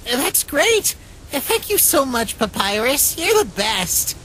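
A man voicing a small, polite, inquisitive talking flower in an animated comic dub. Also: extremely high pitch, slow, slight background noise, full character voice. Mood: sweet, eager.

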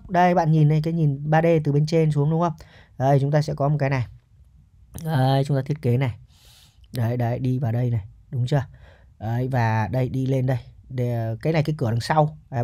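A man's voice: on the high side, darkish tone, highs cut off. A man giving a casual, chatty property walkthrough as a real estate agent, often ending points with a checking question.

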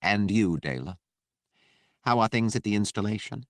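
A man's voice: fairly low pitch, animated, moderate pace, no room sound, pitched above the performer's own voice. A man voicing a calculating military commander in an audiobook, speaking formally and with measure. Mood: guarded, courteous.

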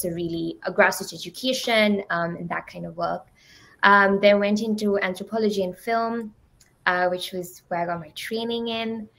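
A young woman from Sri Lanka, a guest on a video call, talking softly and hedging often with fillers. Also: on the low side, rough recording. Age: young adult. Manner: soft-spoken, many ums and hedging "sort of". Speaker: guest, learning anthropologist. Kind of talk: casual video-call chat. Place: home room. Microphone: video call, laptop mic.

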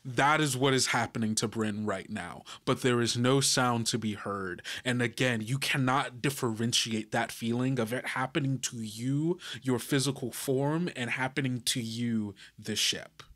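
A man working as game master, narrating a tabletop adventure in halting, deliberate phrases. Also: mid-range voice, fairly bright tone.

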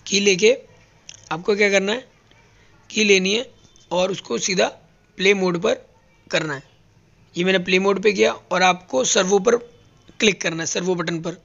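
A man's voice: high-pitched, vocal fry, fairly bright tone, muffled recording. A man teaching robot welding step by step, explaining each button as he presses it on a factory floor. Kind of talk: hands-on tutorial.

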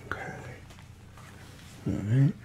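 A soft-spoken man in his thirties playing a barber in an ASMR roleplay, murmuring short remarks close to the mic. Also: slow. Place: small indoor room set up as barbershop. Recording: loud electric clipper buzz and handling noise. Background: electric razor buzz, barber tool sounds.

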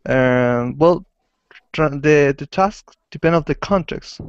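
Slow, hesitant man on a call. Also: a little high. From Chile. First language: Spanish. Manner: hesitant, searching for words. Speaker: translation student. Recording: dull, dry video call audio.

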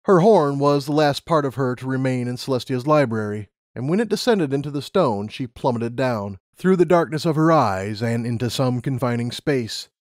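A man's voice: medium pitch, animated, moderate pace, hardly any pauses, a little mellow, no echo, clean studio sound. A man reading a scripted story as an audiobook narrator.

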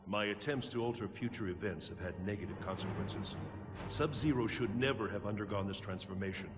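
Man as low, echoing, grave thunder god. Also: a little fast, close to an everyday voice. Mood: troubled. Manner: grave, formal, weighty. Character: troubled, wise thunder god, solemn male protector. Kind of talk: video game cutscene acting. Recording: long hall reverb, game music behind.

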